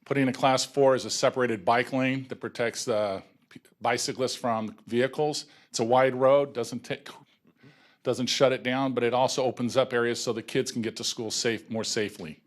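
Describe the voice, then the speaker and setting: A man's voice: mid-range voice, steady tone, normal speed. Earnest local man, cycling advocate, public comment at council. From local resident of the city. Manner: earnest, proud, loosely structured. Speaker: bicycle advocacy group representative. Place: city council chambers.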